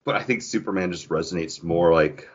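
Male podcast co-host, somewhat low voice, informal conversational read, slightly muffled recording. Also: fairly quick, slightly rough. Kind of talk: casual podcast chat. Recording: dull, band-limited podcast audio.

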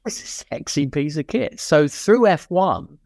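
A man's voice: fairly high pitch, lively intonation, measured pace, gravelly, darkish tone, no room sound, clean studio sound. A middle-aged or older man co-hosting a podcast, chatting casually about motorsport in a rambling way, repeating words for emphasis. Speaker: podcast co-host.

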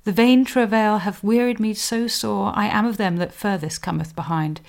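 A woman presenting a scripted history explainer in a measured, scholarly manner, giving emphasis to quotations. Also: medium pitch, steady tone, creaky. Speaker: podcast host, historian.

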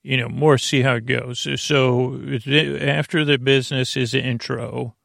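A man with a creaky voice hosting a sleep podcast, meandering haltingly through a lulling, soothing bedtime monologue full of tangents. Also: medium pitch, steady tone, fairly bright tone, dry recording, studio quality.

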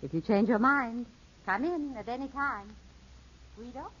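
A woman's voice: on the high side, a little slow, fairly bright tone. A woman playing a charming, teasing, composed lady in a 1950s radio drama, with a genteel, coaxing, theatrical delivery. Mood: smooth, poised. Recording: dull, slightly husky-sounding 1950s radio broadcast.

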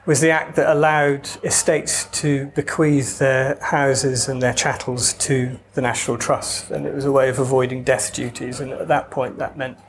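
A man's voice: on the high side, slight background noise. A man from Wales with no Welsh accent, an author talking casually in an interview, wry and digressive with hesitant restarts. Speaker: author guest.

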